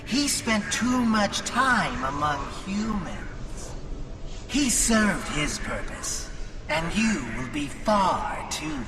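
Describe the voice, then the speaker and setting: A man's voice: high-pitched, big pitch swings, extremely slow, recorded from a distance, background noise, heavily acted. A man playing a cold, contemptuous alien invader, making declamatory threats as a zealous sci-fi game villain. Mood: cold menace.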